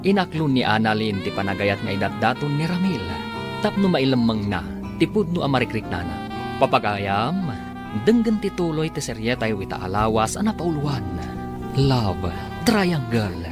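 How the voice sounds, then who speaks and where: A man's voice: medium pitch, big pitch swings, moderate pace. A man narrating and announcing a radio drama in a dramatic, scripted style. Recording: music under the voice.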